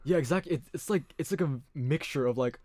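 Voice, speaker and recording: A man's voice: fairly high pitch, fast talker, dark tone, no echo, studio quality. A young man with an American-leaning international school accent, a podcast guest chatting casually and hesitantly, restarting his sentences. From Hong Kong. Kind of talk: casual chat.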